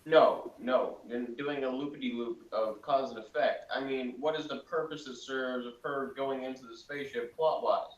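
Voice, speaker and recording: A man's voice: medium pitch, fairly even intonation, moderate pace, hardly any pauses, muffled recording. A man giving casual critical commentary as a panelist, blunt and dismissive in his manner. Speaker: podcast panelist. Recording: echoey room, far from mic.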